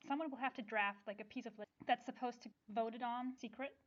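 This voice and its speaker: A woman doing casual improvised comic role-play with a deadpan, bawdy manner. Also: on the high side, fast. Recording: dull, lo-fi podcast sound.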